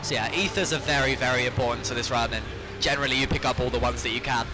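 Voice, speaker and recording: A young man with a slightly hoarse voice from a cold, casually explaining his speedrun strategy as he plays. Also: mid-range voice, fast talker. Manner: casual, explanatory, steady running walkthrough. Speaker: speedrunner commentating his run. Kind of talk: live gameplay commentary. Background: loud game music.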